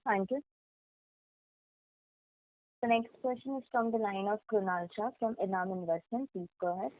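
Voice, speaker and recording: Lady conference operator, formal script, normal pitch, thin phone sound. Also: moderate pace. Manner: formal, polite. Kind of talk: scripted call moderation. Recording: dry tinny phone line.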